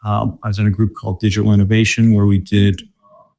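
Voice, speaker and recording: Gravelly low-voiced man from Michigan. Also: fast talker. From Michigan, USA. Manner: relaxed, many fillers and restarts. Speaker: guest, corporate mobility director. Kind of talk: casual interview conversation.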